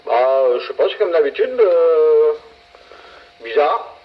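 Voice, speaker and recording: A man's voice: on the high side, big pitch swings, slow pace. A man chatting casually as a CB operator, hesitating and repeating himself. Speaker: CB radio operator. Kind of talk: casual chat. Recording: muffled CB radio transmission.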